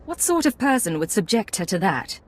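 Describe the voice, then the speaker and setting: A woman's voice: medium pitch, very animated, normal speed. A woman acting a composed undercover intelligence agent in a video game, speaking in a clipped, formal, controlled way. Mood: cool, firm.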